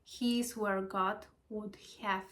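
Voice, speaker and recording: A woman whose first language is Spanish, with a Spanish accent, reading spiritual lessons slowly and hesitantly, adding her own reflections. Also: medium pitch, steady tone, a bit bright. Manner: hesitant, apologetic, with small laughs and restarts.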